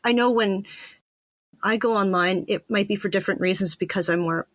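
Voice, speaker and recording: A woman's voice: medium pitch, fairly quick, a bit bright, no echo, clean studio sound. A woman hosting a podcast, talking in a reflective, conversational interview style.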